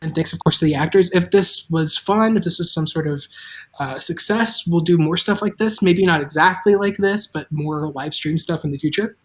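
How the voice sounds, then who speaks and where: A woman hosting a live table read, chatting informally and a little haltingly with the stream audience. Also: deep voice. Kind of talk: casual livestream chat.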